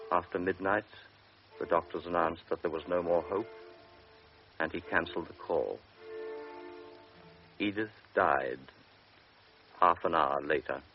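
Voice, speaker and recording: A man narrating an old radio drama in a measured, grave storytelling manner. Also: low voice. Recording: dull old radio recording with faint music.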